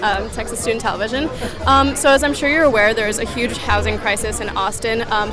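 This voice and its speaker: Young female reporter, raised pitch, spoken interview question, outdoors, music in background. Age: young adult. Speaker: student TV reporter. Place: outdoors at a rally. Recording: clear music behind, outdoors.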